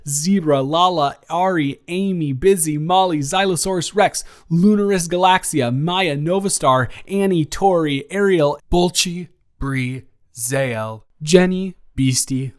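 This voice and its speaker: A man's voice: medium pitch, clean tone. Man reading out a supporter roll call. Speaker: audio roleplay creator. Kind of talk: reading supporter names and channel plug.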